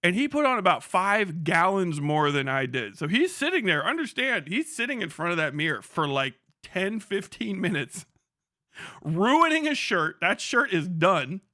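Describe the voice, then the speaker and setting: A man's voice: high-pitched, big pitch swings, normal speed, bright, forceful tone, no room sound, clean studio sound. A young man streaming live, commenting casually and laughing a lot while he mocks an online figure with plenty of swearing. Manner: profane, laughing often, mocking.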